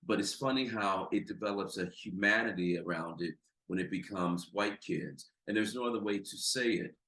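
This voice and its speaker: A man with a deep voice and an American accent, a psychologist speaking as a podcast guest, talking with emphatic, building intensity. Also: moderate pace, a bit bright, rough recording. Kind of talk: impassioned conversational talk.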